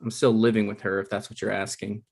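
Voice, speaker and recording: A man's voice: fairly low pitch, level tone, quick pace, gravelly, breathy edge. A man playing an insecure, defensive aspiring comedy writer in his thirties at a casual table read. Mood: pleading, boastful. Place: remote online table read over internet.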